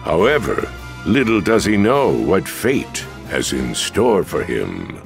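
A man narrating a video game story in a dramatic scripted style, with a deep gravelly voice. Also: wildly swooping pitch, slow, over music, exaggerated voice. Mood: solemn.

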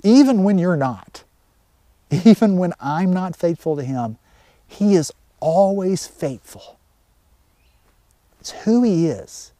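A man's voice: sits high, animated, takes it slowly, pauses often, dark tone, no echo. A middle-aged man giving a short sermon as a pastor, speaking to his congregation. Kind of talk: gentle short sermon with pauses. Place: outdoors in a pine wood.

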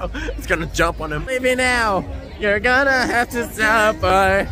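Hoarse, excitable young man vlogging. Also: high-pitched, a little slow, over music, a crowd in the background. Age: young adult. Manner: gushing, excitable. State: hoarse after a concert. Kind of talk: casual vlog talk to camera. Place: city streets at night, outdoors. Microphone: phone held at arm's length.

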